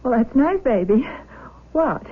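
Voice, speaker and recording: Worried gentle mom, bit husky, emotional, old tinny radio drama. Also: female voice, fairly high pitch, expressive, measured pace. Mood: tender, surprised. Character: tender, worried middle-aged mother. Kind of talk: 1970s radio drama acting. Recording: thin phone-like old radio broadcast.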